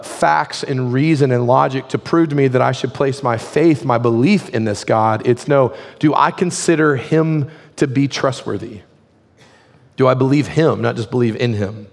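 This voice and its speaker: A man preaching a sermon as a pastor, his voice hoarse and his delivery conversational, full of "like" fillers. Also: mid-range voice, moderate pace, in a large hall.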